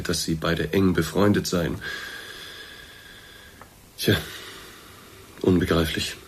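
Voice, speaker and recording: An actor playing a courteous, awkward middle-aged boss in a radio play, speaking in a formal, hesitant, roundabout way with a slightly husky voice. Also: male voice, sits low. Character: courteous, awkward male boss, discreetly breaking bad news. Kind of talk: German radio drama acting, naturalistic dialogue.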